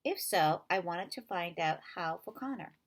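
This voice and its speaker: A woman narrating a children's novel in an expressive read-aloud style. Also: lowish voice, moderate pace.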